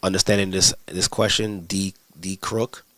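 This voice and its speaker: A man's voice: sits low, steady tone, normal speed, fairly bright tone, no echo. A man teaching scripture in a casual livestream, answering viewers' questions in a conversational, slangy way with many restarts.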